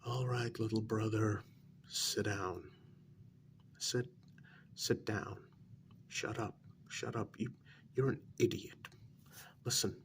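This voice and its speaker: A man playing an anxious working-class older brother in a soft-spoken ASMR role-play, speaking in a hushed, deliberate, insistent way. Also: steady tone, a little hiss or hum. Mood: exasperated. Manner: low, deliberate, insistent, half-whispered warning.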